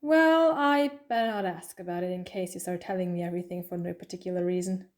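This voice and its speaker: A woman's voice: fairly low pitch, quick pace, close to an everyday voice. A woman playing a playful, restless alien time traveller with a chatty, quirky manner in an audio drama. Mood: playful, teasing.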